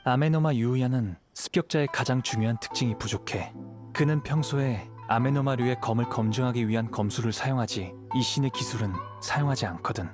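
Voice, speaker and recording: 남자 성우가 연기하는 차분하고 낮지도 높지도 않은 젊은 검객. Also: male voice, medium pitch, soft background music, natural voice. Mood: analytical. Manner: measured, composed, analytical. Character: calm, thoughtful young wandering samurai investigator. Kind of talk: video game voice acting.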